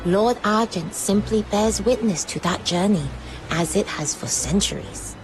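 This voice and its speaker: Calm wise lore-keeper played by a woman, mid-pitched, slow and gently instructive, game dialogue over faint music. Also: lightly acted, poor audio. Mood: serene, instructive. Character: calm, wise female guide explaining sacred lore. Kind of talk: video game character acting.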